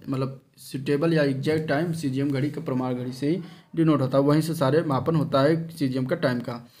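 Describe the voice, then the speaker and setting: A man gives an exam coaching lesson in a slightly high-pitched voice. He reads from study notes with short explanatory asides, halting and stumbling now and then over technical terms.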